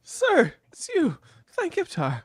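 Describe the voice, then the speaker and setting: A woman's voice: high-pitched, huge pitch swings, slow, heavily acted. A woman voicing a meek, reverent alien crewman in a live radio play, formal and awed in delivery. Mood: awed, relieved.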